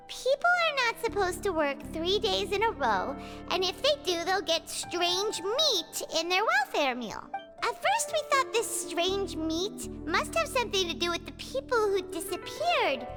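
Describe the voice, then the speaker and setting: A woman voicing a small, childlike, bubbly fairy companion in a video game, squeaky and excitable. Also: heavily acted. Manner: bubbly, speaks of self in third person. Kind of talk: video game character acting. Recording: music playing behind.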